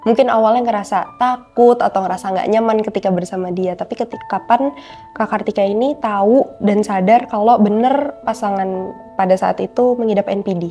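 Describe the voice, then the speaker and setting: A woman's voice: mid-range voice, brisk, dark tone, faint music behind. A woman interviewing in a casual, informal and empathetic way.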